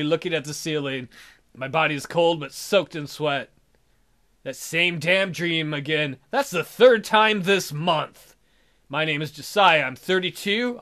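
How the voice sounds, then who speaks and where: A man's voice: on the high side, a bit bright. A man hosting a let's play, reading a visual novel aloud in a theatrical, jokey way. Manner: theatrical, jokey, hammy delivery. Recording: background music behind voice.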